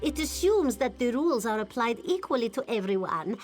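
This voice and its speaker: A woman's voice: a little high, sing-song, fairly quick, darkish tone, faint music behind. A woman voicing a smug, sly cat-folk mercenary captain in a video game, purring and insinuating as she speaks of herself in third person. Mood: sly, teasing. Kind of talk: video game character dialogue.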